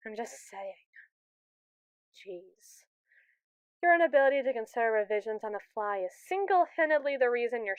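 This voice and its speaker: A woman's voice: fairly high pitch, a bit bright, smooth, no room sound, lo-fi sound. A woman playing a haughty, impatient evil robot who scorns humans in an ASMR audio roleplay, condescending, sarcastic and theatrical. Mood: impatient, demanding.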